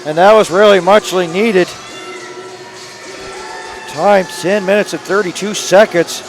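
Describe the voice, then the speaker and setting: Raspy, high-voiced hockey play-by-play man. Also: over music. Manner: rapid, rising to excited calls on scoring chances. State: hoarse from days of calling games. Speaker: play-by-play announcer. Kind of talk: live hockey play-by-play commentary. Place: arena broadcast booth.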